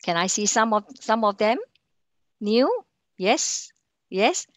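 A woman's voice: mid-range voice, no room sound, studio quality. Singaporean woman giving online sales training. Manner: halting delivery with frequent fillers and restarts, quizzing the audience. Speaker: account manager and sales trainer.

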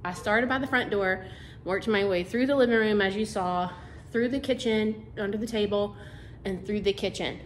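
A woman's voice: mid-range voice, normal speed, echoey room, slight background noise. A woman, chatting casually to camera about cleaning in her living room. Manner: chatty, homey, fillers like "like" and "um". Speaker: cleaning vlogger. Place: carpeted-free living room of a house, indoors.

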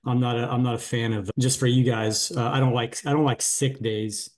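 A middle-aged man talks casually on a group coaching video call. His voice sits at an average pitch. He rambles a bit and pokes fun at himself as he explains how he runs his business. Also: little pitch movement, moderate pace. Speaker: painting business owner. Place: small room at home.

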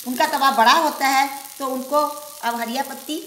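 A woman hosts a cooking demonstration in her home kitchen, talking the viewer through each step in a chatty, homely way. Her voice is high and bright. The room is echoey, with a faint sizzle from the griddle behind her. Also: fairly even intonation, moderate pace, slight background noise. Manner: homely step-by-step explaining with filler "ji". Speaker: cooking show host.

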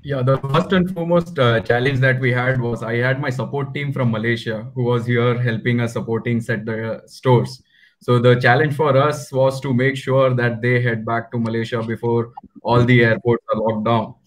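A man's voice: mid-range voice, steady tone, moderate pace, low-quality recording. Indian guy on a panel video call, mid voice, explains stuff one point at a time. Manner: enumerates points step by step. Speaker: panelist, retail executive. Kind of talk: explanatory business panel talk. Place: indoor room on a video call.

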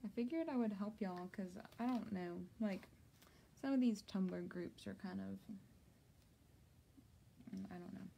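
A woman's voice: medium pitch, measured pace, vocal fry, pauses often, mellow, slight background noise. A young woman chatting casually while she crafts, answering viewers and laughing often. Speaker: crafter doing a tumbler tutorial. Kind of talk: casual live-stream chat while crafting. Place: home craft office.

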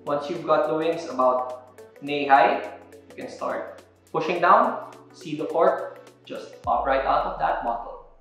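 A man's voice: a little high, a little slow, long pauses, fairly bright tone, faint music behind. A young man explaining step by step how to open a wine bottle, in an instructional demo recorded indoors. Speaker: wine presenter. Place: indoor room with a table. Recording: very echoey room.

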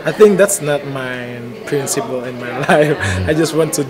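A young man with an Indonesian accent giving a halting testimonial, searching for his English words. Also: medium pitch, crowd noise. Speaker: singer. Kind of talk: on-camera testimonial. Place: large indoor hall with tables. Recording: music playing behind the voice. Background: music.

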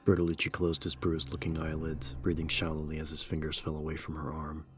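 A man narrating an audiobook in a measured, solemn, scripted dramatic style. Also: very deep voice, completely flat delivery.

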